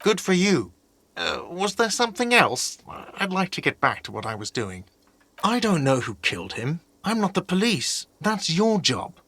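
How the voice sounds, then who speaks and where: An actor playing an exasperated, sarcastic hostel manager in a video game, with an irritated, condescending way of talking. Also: male voice, sits high, sing-song, normal speed, bright, forceful tone, breathy edge, exaggerated voice.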